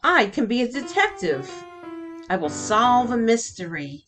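A woman's voice: a little high, very animated, unhurried, over music. A woman voicing an imaginative young girl who is eager to play pretend, in a dramatic storybook reading. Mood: excited, imaginative.

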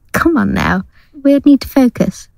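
Actress as anxious female amateur conjurer, highish voice, very animated, earnest, audio drama. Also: takes it slowly, creaky, dry recording, exaggerated voice. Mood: uneasy. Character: anxious abused girlfriend turned ghost-banishing conjurer.

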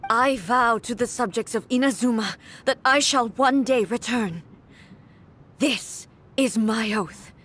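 A woman playing a grave, regal warrior goddess in a video game, speaking formally and with measured weight. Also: on the high side, fairly bright tone, slight background noise. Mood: grave, resolute. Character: solemn, imperious warrior goddess ruler of a nation. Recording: game audio with music behind.

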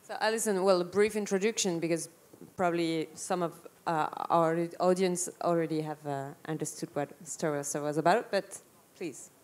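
A woman's voice: lowish voice, fairly even intonation, moderate pace, a little mellow. A woman in her thirties moderating a live panel on an indoor festival stage, speaking into a handheld microphone.

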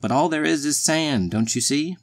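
A man voicing a little, timid but cheeky carpenter sidekick in a storybook, squeaky and chatty. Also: moderate pace. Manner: chatty, folksy British turns of phrase. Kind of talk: acted storybook dialogue.

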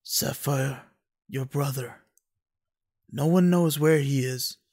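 A man's voice: medium pitch, slow pace, gravelly, darkish tone, no room sound, studio quality. A man playing a grave, commanding lord and guardian uncle in an amateur audio drama. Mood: solemn.

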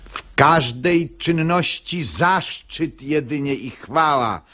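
Pious male hypocrite: highish voice, slow, pompous, smug, for radio verse comedy. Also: expressive, sharp tone, full character voice. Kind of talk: verse stage comedy performed as radio drama.

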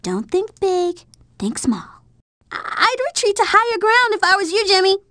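A woman voicing a bossy, sassy young schoolgirl in an animated video game, with a nagging, exclamatory delivery. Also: very high voice, moderate pace, no echo, studio quality, full character voice. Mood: sassy advising.

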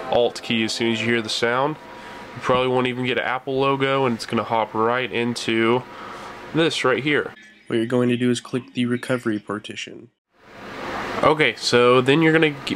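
Man giving a casual tutorial over noise. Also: medium pitch. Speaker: tech tutorial host. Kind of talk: casual step-by-step screen tutorial. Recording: noisy room with steady hum.

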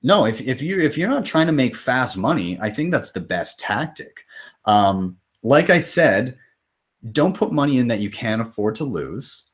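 A man's voice: medium pitch, sing-song, moderate pace. A man in his thirties hosting a casual live question-and-answer stream from a small room at home. He talks loosely and swears casually, reading out viewer comments and answering them off the cuff. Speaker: crypto channel host.

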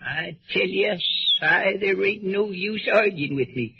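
A man's voice: high-pitched, takes it slowly, vocal fry, heavily acted. A man playing an aggrieved, hot-headed small-town detective with a rural American accent in a folksy 1930s radio comedy. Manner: folksy, blustering, repetitive. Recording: muffled, noisy 1930s radio recording.

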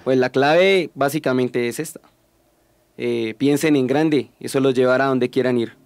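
A man with a Colombian accent answers questions as a guest in a radio skit. His voice is mid-pitched and close to the mic. Music plays clearly behind him. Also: moderate pace, no echo. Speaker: band bassist, guest. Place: dark radio studio. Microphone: close broadcast microphone.

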